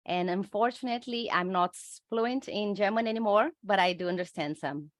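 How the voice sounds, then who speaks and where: A middle-aged Brazilian woman, a native Portuguese speaker, answering interview questions in English with a Brazilian accent, careful and measured, with frequent hesitations. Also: mid-range voice, no echo, clean studio sound. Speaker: UX researcher guest.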